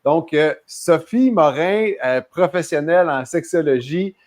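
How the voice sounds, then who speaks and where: A podcast host, a man with a Quebec French accent, leads the interview in a casual, chatty way. His voice sits a little high for a man. He hesitates often and restarts his sentences as he goes. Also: lively intonation, measured pace, a little mellow.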